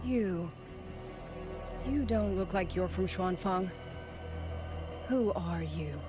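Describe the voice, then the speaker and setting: Low-voiced, solemn warrior woman. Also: slow. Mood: wary, questioning. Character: grave young woman warden soldier, weary lone survivor. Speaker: game character. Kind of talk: dramatic video game voice acting. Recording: music behind the dialogue.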